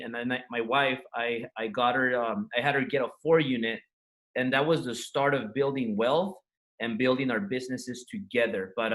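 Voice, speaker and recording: Brief: male guest, native Spanish speaker, raised in LA, earnest storyteller, mid pitch. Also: a bit bright. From Los Angeles, USA. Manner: earnest, frank, loose run-on telling with restarts. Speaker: entrepreneur guest. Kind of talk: interview storytelling.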